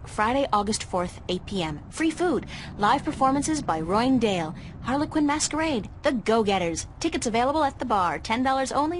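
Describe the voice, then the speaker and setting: An actress playing a casual, curious young art student in a video game, with a dry, sardonic manner. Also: female voice, on the high side, a little hiss or hum. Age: young adult. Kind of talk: video game dialogue acting.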